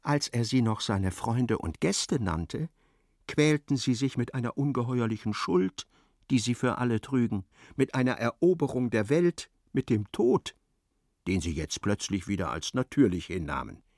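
A man narrating a literary radio play in a measured, dryly ironic way. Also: medium pitch, lively intonation, a little mellow, no echo, clean studio sound.

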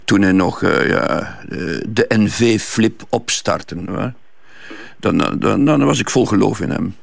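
A man playing an evasive, self-assured businessman under questioning in a crime drama, with a Flemish accent, a gravelly husky voice and repetitive, dismissive replies. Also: fairly low pitch, expressive, unhurried, no room sound, exaggerated voice. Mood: disdainful. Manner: hesitant, repeats himself, dismissive.

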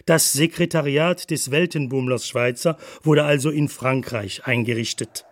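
A man's voice: medium pitch, normal speed, no room sound, clean studio sound. A man reading scripted documentary narration in a factual, composed way.

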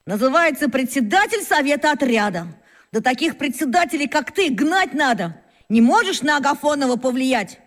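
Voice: sits high, lively intonation, normal speed. An actor voicing an indignant, accusing fifth-grade schoolboy in a children's radio play. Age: child character. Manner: accusing, declamatory. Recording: long hall-like reverb.

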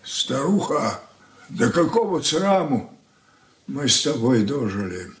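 Slow, heartbroken old patriarch. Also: male voice, mid-range voice, very animated, fairly bright tone, slight background noise. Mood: grieved, stern. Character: stern, heartbroken old merchant father, domineering. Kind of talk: Soviet radio drama acting.

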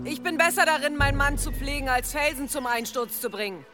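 Plain-spoken village wife, high voice, radio drama, music underneath. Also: female voice, a little fast, bright, forceful tone. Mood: insistent. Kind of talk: German radio drama acting.

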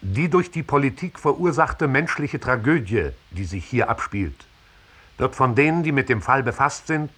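An actor playing a formal, emphatic reader of a newspaper editorial in a 1960s German radio drama. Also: male voice, mid-range voice, expressive, moderate pace, a bit bright, breathy edge. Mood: grave, formal. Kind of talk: scripted announcer reading, 1960s radio drama.

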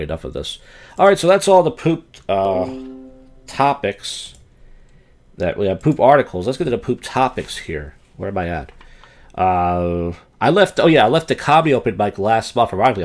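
A young man hosting a call-in show, rambling through crude jokes with flat asides. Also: fairly low pitch. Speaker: podcast host. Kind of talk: casual crude comedy monologue, taking calls.

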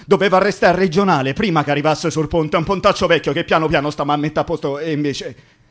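A man acting a rambling Roman workman's ghost, high-voiced. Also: fast talker, close to an everyday voice. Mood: bewildered, recounting. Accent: Roman Italian. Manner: rambling, colloquial, incantatory repetition. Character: dead male construction worker, bitter, tender, rambling ghost. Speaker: stage actor. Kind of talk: theatrical radio monologue in dialect.

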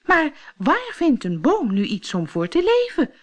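Woman acting a high-pitched, exasperated hedge. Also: huge pitch swings, a little slow, full character voice. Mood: sighing, puzzled. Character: female hedge, exasperated but helpful, sensible. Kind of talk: fairy-tale storybook voice.

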